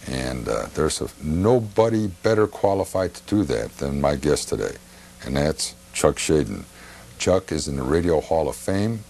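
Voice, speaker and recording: A man hosting a TV talk show, introducing things informally in a halting, meandering way and repeating himself. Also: extremely low pitch, big pitch swings, vocal fry, a little mellow, breathy edge.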